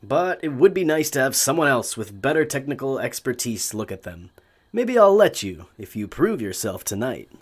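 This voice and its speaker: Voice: medium pitch, big pitch swings, moderate pace, husky. An actor playing a prim, dry, calculating male magician in an audio drama, formal and precise. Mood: coy, measured.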